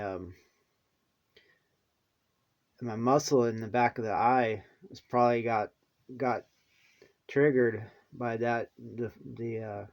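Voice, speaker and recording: A man vlogging in a rambling casual monologue, halting with many restarts, his voice dropping to mumbles and then perking up again. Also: medium pitch, darkish tone.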